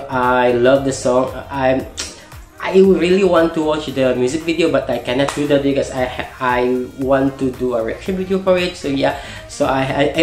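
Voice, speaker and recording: A man's voice: medium pitch, a little mellow. Echoey, gushing young Malaysian man. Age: young adult. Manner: gushing, repeats phrases. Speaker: reaction video host. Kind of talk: casual excited reaction chat. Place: small bedroom, indoors.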